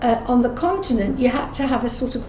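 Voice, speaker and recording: A woman's voice: fairly high pitch, fast, a little mellow. A woman in her eighties giving personal testimony in an interview, telling her memories conversationally and correcting herself as she goes. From England. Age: 80s. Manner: conversational storytelling with self-corrections and acted-out dialogue. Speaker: Holocaust survivor giving testimony. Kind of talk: unscripted personal reminiscence in an interview. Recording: roomy echo, clear background noise.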